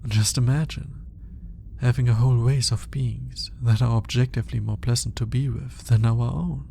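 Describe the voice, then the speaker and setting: A man's voice: mid-range voice, steady tone, creaky, warm tone, a little hiss or hum, close to an everyday voice. A man playing an awkward, analytical alien scientist in an intimate ASMR roleplay, speaking in formal, hesitant musings. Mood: hesitant, enchanted. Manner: hesitant, formal, articulate musing with sighs and pauses. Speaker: voice actor.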